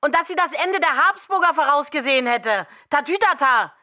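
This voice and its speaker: A woman's voice: sits high, expressive, fairly quick, pushed and bright. An actress playing an insistent, indignant East German woman who rants against aristocracy and talks over others in a radio play. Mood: scornful. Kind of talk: heated opinion monologue in a radio play. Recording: music underneath. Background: music.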